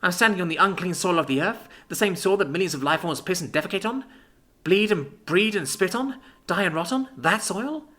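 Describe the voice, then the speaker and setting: A man voicing Libby, a fussy, reclusive young woman who speaks high and fretful. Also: huge pitch swings, fairly quick, sharp tone, full character voice. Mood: horrified. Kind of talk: audiobook character voice.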